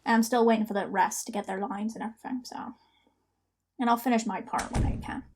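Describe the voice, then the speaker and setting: A woman's voice: medium pitch, fast talker, a little mellow, slight background noise. A woman hosting a reaction video, giving chatty, informal running commentary. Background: audio drama with music playing.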